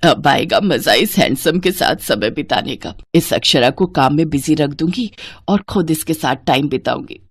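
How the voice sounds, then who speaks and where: A woman's voice: low voice, lively intonation, moderate pace, breathy edge, no echo, clean studio sound, pitched below the performer's own voice, full character voice. A woman voicing a scheming, lustful middle-aged stepmother in a story, coy and insinuating. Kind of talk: Hindi moral-story cartoon voice acting.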